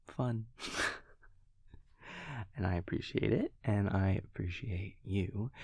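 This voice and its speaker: A man playing an easygoing, affectionate vampire boyfriend in an intimate audio roleplay, rambling and hesitant in a husky, gravelly voice. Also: lowish voice, no echo, heavily acted. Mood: tender, playful. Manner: rambling, hesitant, soft chuckles between thoughts. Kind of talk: intimate audio roleplay, naturalistic monologue to a partner.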